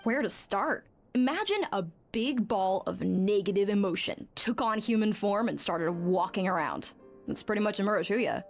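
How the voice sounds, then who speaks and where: A woman's voice: mid-range voice, expressive, normal speed, faint music behind, lightly acted. A woman playing a sardonic, gossipy female clinic colleague in a video game, telling things in a dry, wry way. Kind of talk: video game dialogue acting.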